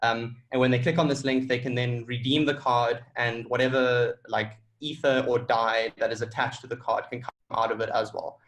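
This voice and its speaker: A man gives an informal conference-call presentation about a tech project. His pitch is middling and stays fairly flat from phrase to phrase. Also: normal speed, hardly any pauses, rough recording.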